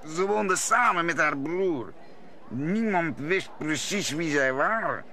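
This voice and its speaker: A man's voice: fairly high pitch, expressive, slow pace, sharp tone, a little hiss or hum, full character voice. An actor playing a brooding, worldly musketeer nobleman in an old Dutch radio play, telling things with dramatic deliberation. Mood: bitter, dramatic.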